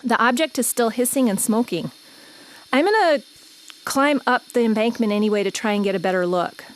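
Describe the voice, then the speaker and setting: A woman's voice: on the high side, a little fast, a little hiss or hum, lightly acted, low-quality recording. A woman playing a conversational, slightly uneasy field reporter describing a scene live in a radio drama. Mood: intrigued, reporting.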